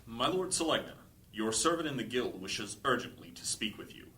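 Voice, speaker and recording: An actor playing a short, subservient dark-robed priest, deferential and urgent, with a husky voice in a fantasy audio drama. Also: male voice, mid-range voice, expressive, normal speed, heavily acted. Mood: deferential urgency. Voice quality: husky, breathy.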